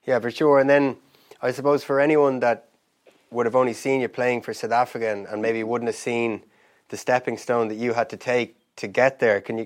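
A man, casually putting questions to a guest as a podcast host. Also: medium pitch. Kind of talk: casual interviewing.

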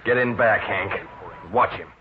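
An actor playing a menacing, bossy robber with a gruff, slangy manner in an old-time radio crime drama. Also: male voice, lowish voice, fairly even intonation, unhurried, close to an everyday voice. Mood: curt, commanding. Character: bossy, menacing male robber, curt tough-guy gangster. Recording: noisy, dull vintage radio recording.

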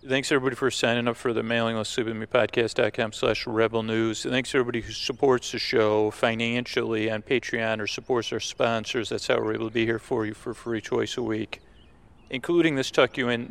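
A man with a creaky voice hosting a sleep podcast, giving low-key, rambling thanks and announcements to listeners. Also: level tone, a little fast, a bit bright. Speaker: podcast host.

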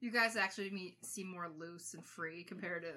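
Female co-host, bright tone, playful ribbing delivery. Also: lowish voice, moderate pace. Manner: teasing, playful. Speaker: podcast co-host. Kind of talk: casual chat.

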